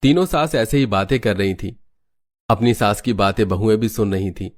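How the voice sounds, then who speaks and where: A man's voice: fairly low pitch, lively intonation, moderate pace. A man reading scripted narration for a cartoon family story. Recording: faint background noise.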